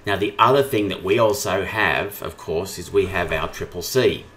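A man giving an informal screen-share tutorial, explaining things step by step as a software trainer. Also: low-pitched, moderate pace, slight background noise.

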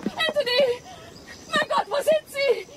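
A woman's voice: extremely high pitch, slow. An actress playing an anxious young lady in a jungle radio drama, exclaiming in alarm. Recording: noisy outdoor jungle sound effects.

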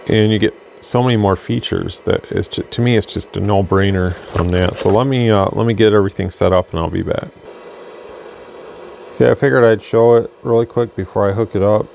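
Man, very low voice, creaky fry, casual hands-on demo narration, faint background noise. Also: moderate pace, a little mellow. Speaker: radio product demonstrator. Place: indoor electronics workbench.

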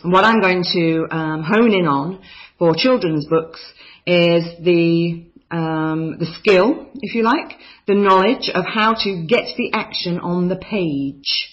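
A woman's voice: lowish voice, slow. A woman from New Zealand tutoring a children's writing course, talking enthusiastically and chattily with frequent ums. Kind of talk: course overview narration over slides. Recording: slightly dull lo-fi voice-over.

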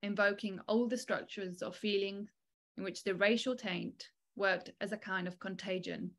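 Woman in her 30s reading out an academic talk on a video call, measured and articulate, medium pitch. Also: creaky. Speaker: academic keynote speaker. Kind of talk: formal scripted academic lecture. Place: small indoor room, online video call. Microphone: video call microphone.

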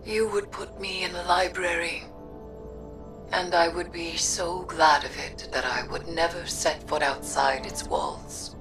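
Low, solemn, vengeful sorceress voiced by a woman. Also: fairly even intonation, natural voice. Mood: pleading, resolute. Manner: solemn, formal, deliberate. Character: brooding, vengeful female occult sorceress, solemn and defiant. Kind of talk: theatrical video game dialogue. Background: music.

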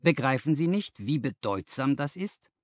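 A man voicing a confident, analytical gentleman detective who lectures emphatically in an audiobook, posing rhetorical questions. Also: medium pitch, big pitch swings, normal speed, dry recording, close to an everyday voice. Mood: instructive. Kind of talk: audiobook character dialogue.

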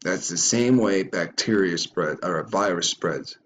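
A man's voice: deep voice, measured pace, slight background noise. A man giving a ranting reaction commentary on a video, stumbling and repeating words as he goes.

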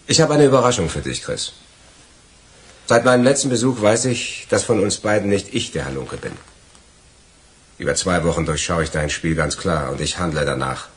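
An actor playing a bitter, accusing male crook in a crime radio drama, with a husky voice and a pointed, sardonic delivery. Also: low voice, normal speed, a little hiss or hum, close to an everyday voice. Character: bitter, accusing male crook confronting his treacherous accomplice.

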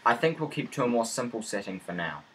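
A man presenting a software tutorial in a step-by-step narration. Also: medium pitch, big pitch swings, fast, background noise. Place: indoor voice-over for screen recording.

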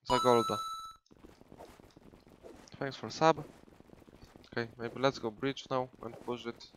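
A man's voice: mid-range voice, steady tone, slow pace, a bit bright, a little hiss or hum, poor audio. A young man from Poland with a Polish accent, casually commenting on his gameplay live from a home room into a headset mic, with many fillers. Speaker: gaming streamer.

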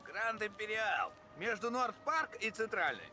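A weary gangster near forty. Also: male voice, high-pitched, animated, unhurried, sharp tone, faint music behind, heavily acted. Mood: persuasive. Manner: blunt, coarse, restless.

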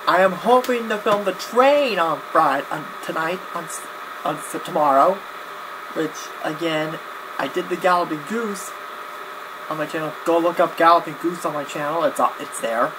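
A young man talking casually to camera in a halting, rambling vlog style, often backtracking and repeating himself. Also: a little high. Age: young adult. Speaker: video blogger. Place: small cluttered home room, indoors. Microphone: webcam at desk distance. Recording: clear background noise, webcam-grade audio.

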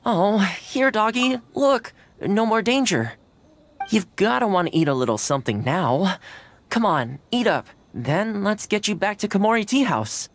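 Gentle, lively young game hero. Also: male voice, sits high, a little slow, full character voice. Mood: coaxing. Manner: gentle, casual, coaxing. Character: friendly, caring, patient young man coaxing a dog. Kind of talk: video game character dialogue. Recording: music under the voice.

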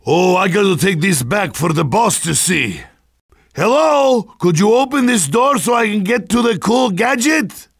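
An actor playing a hard-drinking, boisterous Russian soldier in a video game, gruff and sardonic, with a Russian accent and mocking broken English. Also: male voice, high-pitched, big pitch swings, measured pace, no echo, clean studio sound, full character voice. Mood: impatient, boisterous. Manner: blustering, mocking, broken English with dropped articles. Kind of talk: video game character barks.

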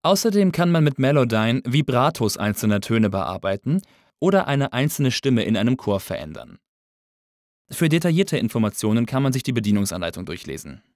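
A male instructor reads a tutorial script. His mid-pitched voice moves expressively while he explains things in an orderly way. The sound is close and dry, like a studio voice-over. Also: sing-song, a little fast, darkish tone, no echo. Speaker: audio engineering instructor. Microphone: close studio voice-over mic.

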